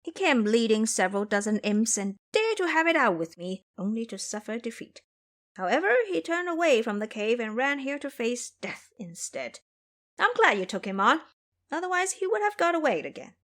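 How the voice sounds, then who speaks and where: A woman voicing a brash, fiery monkey warrior in an audio drama, with a taunting, forceful manner. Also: a little high, sing-song, moderate pace. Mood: delighted.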